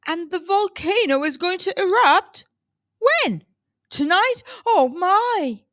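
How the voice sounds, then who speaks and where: A bossy storybook king and father acted by a woman, booming and emphatic. The pitch is high for the part and swings widely. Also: expressive, slow pace, a bit bright, dry recording, clean studio sound, heavily acted. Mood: alarmed. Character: stern king father, bossy and easily alarmed.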